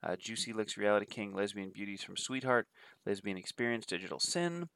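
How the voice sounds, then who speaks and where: A man's voice: fairly low pitch, a little fast, vocal fry, fairly bright tone, clear, resonant tone, dry recording. A man with a cold and a nasal voice, giving a rambling, casual spoken review of films and listing titles with many fillers. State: has a cold. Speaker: adult film reviewer.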